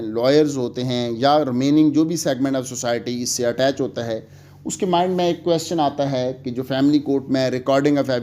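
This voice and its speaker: Measured man lecturing on law. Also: mid-range voice, darkish tone. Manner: measured, explanatory, mixes English legal terms into speech. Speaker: legal lecturer.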